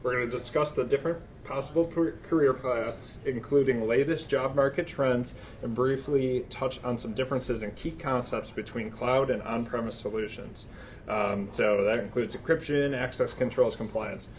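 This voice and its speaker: Male moderator who reads a speaker introduction, dull recording with a low hum. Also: moderate pace. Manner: reads from notes, some fillers. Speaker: session moderator. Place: conference room. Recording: muffled conference audio with faint rumble.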